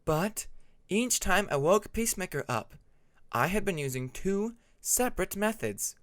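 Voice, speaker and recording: A man voicing a calm, frank female dragon who explains dream lore in a measured way, in a narrated fantasy story. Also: high-pitched, no room sound, voice pushed up. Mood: explaining, grave.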